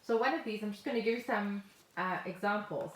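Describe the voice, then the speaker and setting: A woman's voice: mid-range voice, brisk. A South African woman chatting casually as a podcast co-host, telling stories in a jokey way. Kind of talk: casual chat. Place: at home, indoors. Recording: roomy echo, faint noise, remote call.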